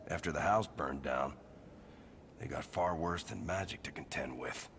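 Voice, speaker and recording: A man playing a gruff, brooding storyteller in a video game cutscene, with a gravelly, breathy low rasp and an ominous delivery. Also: normal speed, a bit bright, a little hiss or hum, full character voice. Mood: grim, ominous. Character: gruff, brooding man haunted by a burned house.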